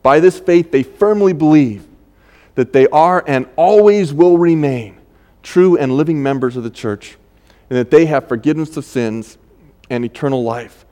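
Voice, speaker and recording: An older man preaching a sermon as pastor, conversational and halting, with many fillers and restarts. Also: a little high, mellow, in a large hall.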